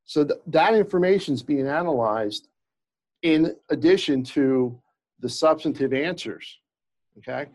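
A man's voice: on the high side, expressive, a little slow, darkish tone. A man with a slight stutter, a lawyer explaining cases in casual interview talk with frequent restarts and fillers. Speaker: employment lawyer, guest. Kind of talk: conversational expert explanation.

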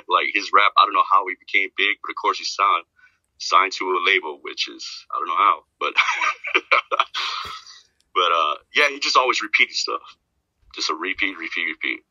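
A man talking casually as a podcast guest, rambling and repeating himself, with a deep voice. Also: bright, forceful tone, no echo, dull audio. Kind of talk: casual chat.

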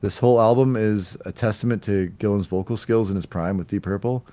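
A man co-hosting a music podcast, presenting a countdown of songs in casual chat, relaxed and laughing now and then. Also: on the low side, brisk. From Canada. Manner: relaxed, laughing, slight stumbles over words.

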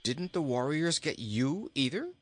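A man's voice: fairly high pitch, very animated, a little slow, dry recording, pitched above the performer's own voice. A man voicing an earnest, sorrowful young boy in a plain, subdued manner. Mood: surprised.

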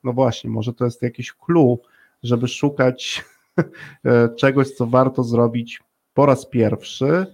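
A man's voice: medium pitch, steady tone, slow, vocal fry, darkish tone. A man hosting a conversational interview podcast, speaking wordily and affirming his guests. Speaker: podcast host.

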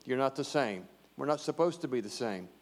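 Church elder preaching short and jokey, handheld mic, big echoey hall. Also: male voice, mid-range voice, expressive, a little fast. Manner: joking, plainspoken. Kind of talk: short sermon. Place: church sanctuary. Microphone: handheld microphone. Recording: long reverb of a church hall.

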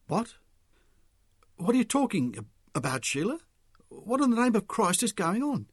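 A man's voice: high-pitched, lively intonation, fast talker, no room sound, clean studio sound, pitched above the performer's own voice. A man voicing an agitated, bewildered working-class husband in a story, firing urgent questions.

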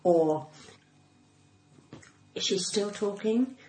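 A woman's voice: medium pitch, expressive, very slow, a little mellow. Cut-glass English woman, echoey room. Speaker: stand-up comedian guest. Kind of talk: casual interview chat. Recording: echoey room with clicks and background noise.

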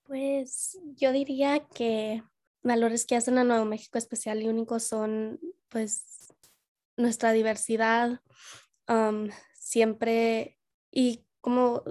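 Relaxed young woman with a high voice. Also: slow. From New Mexico, USA. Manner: relaxed, many fillers, laughs easily. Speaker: guest. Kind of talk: casual conversation.

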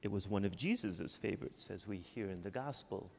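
A man's voice: low voice, brisk, vocal fry, fairly bright tone, husky. A Midwestern American man from Michigan preaching a sermon as a priest, talking in a conversational way and often adding "right?". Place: church. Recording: long church reverb.

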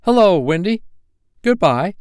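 A man's voice: sits high, very animated, a little slow, darkish tone, smooth, no echo, studio quality, voice pushed up. A man voicing a cocky, stubborn boy who refuses to grow up, bold and blunt, in a storybook reading. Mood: brisk, blunt.

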